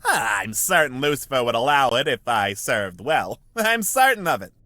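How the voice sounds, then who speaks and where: A man's voice: medium pitch, big pitch swings, moderate pace, bright, forceful tone, no echo. A man playing an eager, hopeful 1920s all-American young man in a visual novel, earnest and exclamatory, with old-fashioned slang. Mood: confident. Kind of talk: visual novel character acting.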